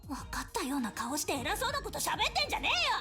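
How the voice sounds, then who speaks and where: A woman's voice: high voice, very animated, rapid-fire, pushed and bright, full character voice. A snarling, furious street girl. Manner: rough, coarse slang, snarling. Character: fierce young street girl, bitter toward the church. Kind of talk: Japanese game voice acting. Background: game music.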